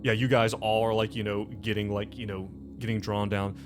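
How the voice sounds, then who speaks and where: A man narrating a tabletop game as game master, informal and halting, leaning on filler phrases. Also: lowish voice, flat delivery. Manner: informal, halting, full of "like" and "you know". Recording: music playing behind the voice.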